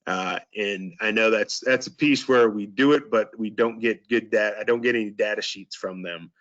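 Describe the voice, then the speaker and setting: Man, hoarse, hesitant meeting presenter, muffled video-call sound. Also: lowish voice, moderate pace, fairly bright tone. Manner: hesitant, frequent ums and throat clearing. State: hoarse, recovering voice. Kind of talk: casual verbal update. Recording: dull lo-fi call audio.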